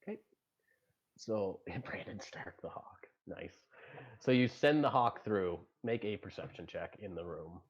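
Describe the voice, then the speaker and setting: A man running a tabletop game as game master, improvising narration in a halting, explanatory way. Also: sits low, animated, husky, highs cut off.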